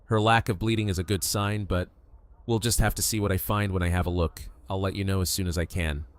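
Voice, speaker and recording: A man acting a calm, measured doctor in an audio drama, clinical and reassuring. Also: sits low, lightly acted. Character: calm, measured male survivor-camp doctor.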